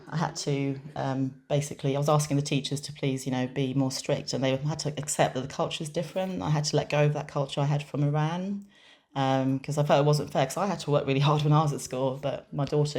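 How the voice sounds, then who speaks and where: A woman with a strikingly deep voice gives interpreted interview answers in the first person. She speaks in a measured way, pausing often and restarting her phrases. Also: steady tone, darkish tone. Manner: measured, with frequent pauses and restarts.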